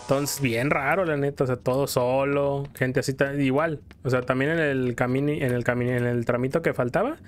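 A man's voice: medium pitch, fairly even intonation, a little fast, few pauses, a little hiss or hum. A Mexican man streaming a game, rambling casually and playfully in slang as he tells stories and comments on play. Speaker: gaming streamer. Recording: music behind the voice. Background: video game music.

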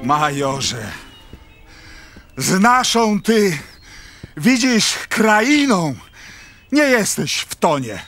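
Frantic high-pitched aristocrat. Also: male voice, very animated, a bit bright, faint music behind, exaggerated voice. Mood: indignant. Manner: blustering, exclamatory, halting theatrical delivery. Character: frantic, indignant aristocratic father, a Polish count. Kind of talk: theatrical radio drama acting.

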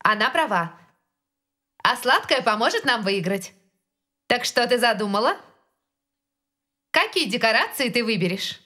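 A woman's voice: a little high, sing-song, measured pace, long pauses, bright, forceful tone, full character voice. A woman voicing a spirited teenage girl in a fantasy cartoon dub. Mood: earnest. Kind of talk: animated cartoon dub acting.

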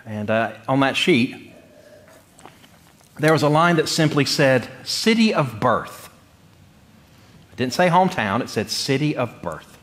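Animated man preaching. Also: mid-range voice. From North Carolina, United States. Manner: conversational, humorous, with deliberate pauses. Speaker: pastor. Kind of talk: sermon with personal storytelling. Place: church sanctuary, speaking from the pulpit.

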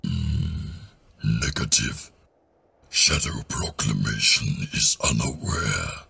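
An actor playing a blunt, menacing female rhino-like alien ex-captain in an audio drama, gravelly and guttural, in clipped broken sentences. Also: very deep voice, expressive, slow, bright, forceful tone, heavily acted. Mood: grudging. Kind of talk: fan audio drama acting.

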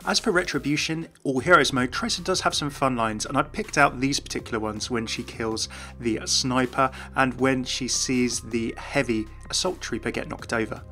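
A man's voice: medium pitch, normal speed, few pauses, sharp tone, faint music behind. A man giving scripted commentary on video game lore as a host.

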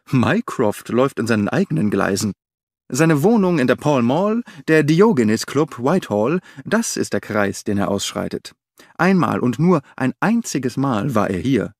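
Brief: a man reading an impatient, sardonic audiobook detective, lively pitch swings, dry close sound. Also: no room sound. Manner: animated, sardonic, declaiming. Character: restless, impatient middle-aged male detective. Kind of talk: audiobook character voice.

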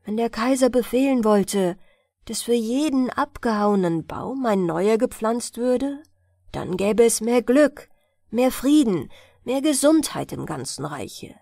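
A woman's voice: on the high side, expressive, unhurried, gravelly, dark tone, dry recording, clean studio sound, exaggerated voice. A woman voicing a humble young male physician in a storybook, speaking in an earnest, pleading way.

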